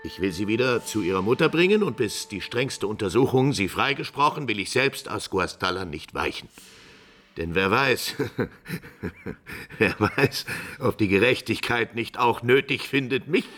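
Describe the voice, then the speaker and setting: A proud middle-aged father in an old radio drama. His low voice swings widely in pitch as he strains against his rage. The delivery is stern, bitter and theatrical. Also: expressive, measured pace, a bit bright. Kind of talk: 1950s German radio drama acting. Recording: vintage 1950s radio recording.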